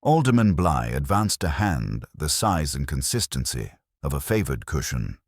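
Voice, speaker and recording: A man narrates a literary mystery with dry, understated wit. His voice is extremely deep and slightly raspy, with a lively melodic range, recorded close and dry. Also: moderate pace, no echo. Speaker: narrator and reader of a mystery story. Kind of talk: scripted literary narration with dry, wry humour.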